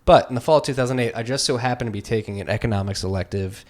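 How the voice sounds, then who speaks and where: A man's voice: mid-range voice, rapid-fire, a little mellow. A man in his twenties hosting a podcast interview, talking loosely and rambling, with lots of "like". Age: 20s. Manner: loose, rambling, heavy use of "like". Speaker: podcast host. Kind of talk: casual conversational interview.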